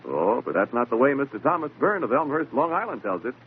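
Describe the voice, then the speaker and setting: A man's voice: medium pitch, big pitch swings, fast. A man announcing a vintage radio advertisement in a folksy, punchy sales style. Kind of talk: 1940s radio advertising pitch. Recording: narrow-band, hissy old radio recording.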